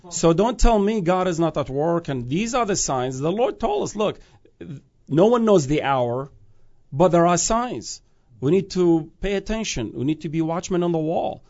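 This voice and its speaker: Fervent gravelly man from Iraq. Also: fairly high pitch, a little mellow, lo-fi sound. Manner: fervent, restarts phrases, rises to emphatic peaks. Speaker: guest speaker on prophecy. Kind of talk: earnest conversational preaching.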